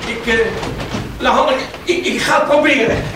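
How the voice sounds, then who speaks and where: A flustered, exasperated mayor in a radio play, with a high voice. He fusses and orders about. He sounds off-mic in a very echoey, noisy recording. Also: normal speed, recorded from a distance. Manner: exasperated, fussing, bossy. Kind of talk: Dutch radio play acting.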